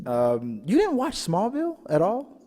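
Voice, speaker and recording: A man hosting a casual pop-culture show, telling stories in a rambling, animated way. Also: sits high, a little slow. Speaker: podcast host. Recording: reverberant, echoing room sound.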